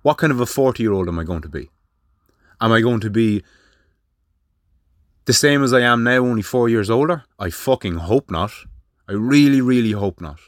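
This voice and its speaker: A man's voice: mid-range voice, expressive, moderate pace, long pauses, no room sound, studio quality. A man, talking alone on his podcast in a blunt way, swearing freely and firing rhetorical questions. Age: 30s. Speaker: podcast host. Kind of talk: solo monologue.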